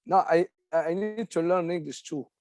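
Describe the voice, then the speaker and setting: A man's voice: on the high side, moderate pace, darkish tone, no echo, clean studio sound, faint music behind. A man in his forties from Morocco, an adult English student speaking hesitantly with many fillers and restarts. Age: 40s. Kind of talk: casual conversation.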